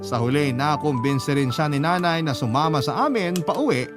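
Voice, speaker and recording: Higher-voiced male storyteller over music. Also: expressive, moderate pace. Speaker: radio drama narrator reading a letter-sender's story. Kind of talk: scripted first-person story narration. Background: background music.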